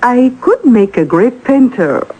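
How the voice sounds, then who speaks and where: High, husky, grand society coquette. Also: female voice, sing-song, a little slow, dark tone, exaggerated voice. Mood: amused, seductive. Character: imperious, flirtatious French society woman, worldly art patron. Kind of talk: old-time radio acting. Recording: muffled vintage radio broadcast.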